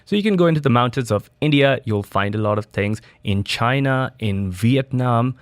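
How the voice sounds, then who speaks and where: A man's voice: medium pitch, animated, normal speed, no echo. A man from Dubai, a studio guest, answering interview questions in a loose, conversational way with restarts and fillers. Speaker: studio guest, biohacking entrepreneur.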